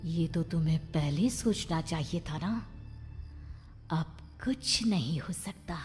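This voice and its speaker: A woman's voice: low-pitched, slow pace, a little mellow, long reverb tail, soft background music. Woman voicing a cold, vengeful female ghost.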